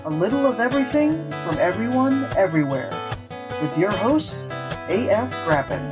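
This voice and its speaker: Lively woman announcer over guitar music. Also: medium pitch, normal speed. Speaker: podcast intro announcer. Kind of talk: scripted show intro. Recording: loud guitar music behind. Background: plucked guitar music.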